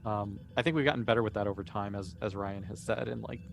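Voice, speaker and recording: Thoughtful man with a lowish voice. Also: fast, creaky. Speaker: podcast cast member. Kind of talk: casual panel chat. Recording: faint background noise.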